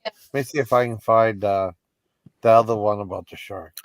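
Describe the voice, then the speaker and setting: A man's voice: fairly low pitch, normal speed, no echo. Man chatting casually in short remarks. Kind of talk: casual chat.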